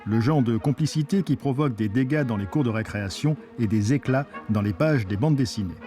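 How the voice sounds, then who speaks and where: A man's voice: mid-range voice, fairly quick, dark tone, soft background music. A man reading a scripted documentary narration as its narrator.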